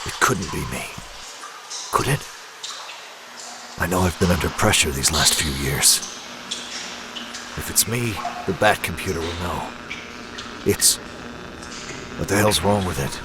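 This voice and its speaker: An actor playing a brooding, obsessive masked vigilante who is unraveling, in an intense, urgent comic-book drama delivery. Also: male voice, sits low, a little slow, pauses often, background noise. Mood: doubting, shaken.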